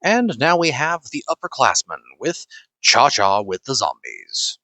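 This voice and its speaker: A man hosting a horror radio show with spooky, drawn-out theatrical delivery. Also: medium pitch, huge pitch swings, sharp tone, dry recording, poor audio.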